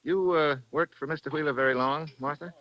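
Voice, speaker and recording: An actor playing a wry, flirtatious American foreign correspondent in a 1940s radio drama, with a casual, teasing manner. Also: male voice, a little high, brisk, lo-fi sound, close to an everyday voice. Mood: flirtatious, playful. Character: breezy, flirtatious American male foreign correspondent, adventurous investigator. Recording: vintage 1940s radio recording.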